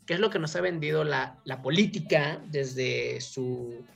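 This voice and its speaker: A woman's voice: rumbling low, a little slow, gravelly, husky, soft background music. A Mexican woman hosting a talk show, giving her opinions in an informal, discursive way and often checking for agreement.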